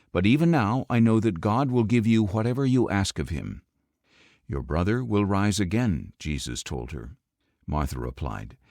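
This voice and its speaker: A man with a fairly low voice reads scripture as an audiobook narrator. His intonation moves widely, with a measured, expressive storytelling delivery. The recording is dry and close, free of room sound. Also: dry recording.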